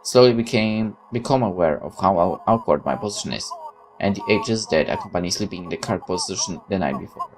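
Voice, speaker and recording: A man's voice: sits low, animated, fairly quick, low-quality recording. A man hosting a gaming video, reading visual novel text aloud and adding casual commentary.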